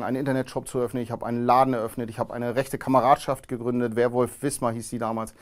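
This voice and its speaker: Calm guy in his 30s answering interview questions in a studio, pauses and ums a lot. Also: mid-range voice. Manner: calm, measured, frequent hesitations. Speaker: interview guest, former neo-Nazi. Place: studio with grey backdrop.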